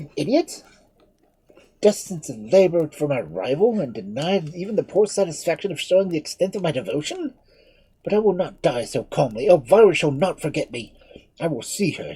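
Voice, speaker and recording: A man voicing a proud, desperate young nobleman who declaims loudly and passionately with a breathy voice. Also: a little high, no room sound, pitched above the performer's own voice, heavily acted, low-quality recording. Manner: loud, declamatory and impassioned.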